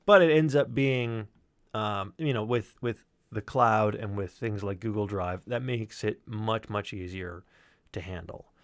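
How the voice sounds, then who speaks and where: A man talking as a sound designer, explaining his work casually in an animated, discursive way. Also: fairly low pitch, unhurried.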